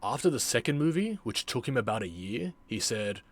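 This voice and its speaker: A man narrating a reflective vlog in a deliberate way, with dramatic pauses and emphatic repetition. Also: mid-range voice, very animated. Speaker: video creator, former amateur voice actor.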